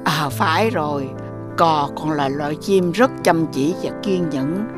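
Low-voiced, kindly old grandmother. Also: measured pace. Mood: patient, explaining. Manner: gentle, instructive. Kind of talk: children's animated cartoon acting. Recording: music behind the voice.

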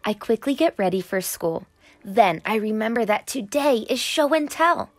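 A woman's voice: on the high side, animated, normal speed. A woman voicing an eager, brave young boy superhero in training, earnest and dramatic, in a storybook. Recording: music under the voice.

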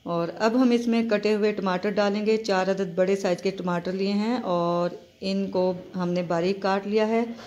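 A woman hosting a home cooking channel, calmly narrating each recipe step and repeating key points. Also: mid-range voice, measured pace. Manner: steady, instructive, repeats steps.

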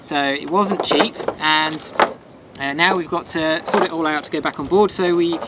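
A man's voice: a little high, moderate pace. A man in his thirties, a sailing vlogger, casually explaining maintenance work on his boat outdoors. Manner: relaxed, practical, explains while working. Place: outdoors on a boat and a marina dock. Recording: outdoor noise behind.

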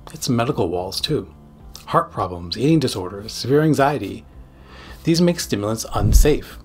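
A man in his forties, a psychiatrist hosting a scripted explainer to camera with emphatic, dramatic phrasing and a slightly husky voice. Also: medium pitch. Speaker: psychiatrist and video host. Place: small home studio room. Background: background music.

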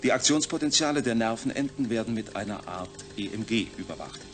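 Ein Schauspieler spielt in einem deutschen Hörspiel einen jovialen Mediziner, der sachlich doziert. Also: male voice, lowish voice, lively intonation, a little fast, close to an everyday voice. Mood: lecturing. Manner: didactic, technical. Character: jovial, lecturing male medical scientist. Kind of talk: German radio play acting.

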